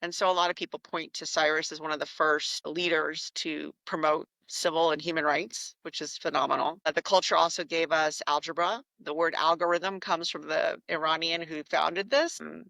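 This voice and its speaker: A woman talks as an interview guest, her voice bright and forward with a gravelly edge of fry. She tells her stories in a reflective, conversational way. The sound is close and dry, with no room behind her. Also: low-pitched, steady tone, normal speed, hardly any pauses, no room sound, poor audio. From United States. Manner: reflective, warm storytelling with some restarts.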